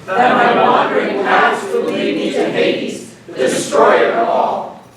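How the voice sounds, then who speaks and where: A man's voice: very high voice, animated, takes it slowly, a loud crowd, exaggerated voice. A man playing a grieving chorus member, chanting half-sung lament on stage, recorded echoey from far off with audience noise. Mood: mournful. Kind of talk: chanted choral stage lines.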